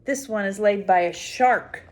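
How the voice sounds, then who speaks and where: An older woman reading a picture book aloud to small children, full of wonder, pausing to explain things simply and add asides. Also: medium pitch, darkish tone. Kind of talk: read-aloud story time with spontaneous asides to a child.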